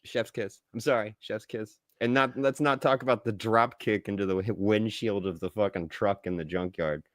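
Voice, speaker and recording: A man co-hosting a podcast, chatting casually about films in an expressive, slangy way and acting out reactions. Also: fairly low pitch, normal speed, no echo, clean studio sound. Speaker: podcast host. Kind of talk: casual film review chat.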